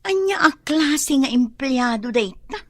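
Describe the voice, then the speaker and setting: A hardworking ilocano office lady, high voice, no-nonsense. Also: lively intonation, measured pace, fairly bright tone, no room sound, exaggerated voice. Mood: plain. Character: diligent female office employee.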